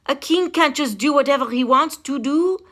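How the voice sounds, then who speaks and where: A woman's voice: high-pitched, moderate pace, a bit bright, voice pushed up. A woman voicing a prim, flustered royal counselor in a storybook, scandalized, deferential and fussily insistent. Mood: shocked.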